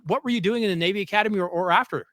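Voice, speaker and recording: Higher-voiced American male podcast host. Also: racing, a bit bright, no room sound, studio quality. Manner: earnest, emphatic, repeats phrases. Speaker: podcast host, former coach. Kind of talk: conversational interview.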